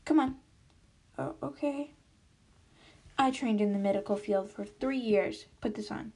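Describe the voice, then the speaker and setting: A woman's voice: a little high, a little slow, darkish tone. A woman improvising voiced characters in a toy role-play story. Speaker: role-play video creator.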